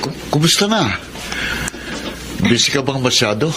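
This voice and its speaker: A man's voice: medium pitch, very animated, a little slow. A man acting an attentive, devoted young boyfriend in a radio drama, speaking with a Filipino accent. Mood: friendly. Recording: clear background ambience noise.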